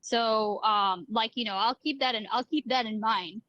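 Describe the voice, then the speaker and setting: A woman's voice: on the high side, normal speed, fairly bright tone, dry recording, muffled recording. A woman streamer on a call, talking casually and hesitantly, often restarting her sentences.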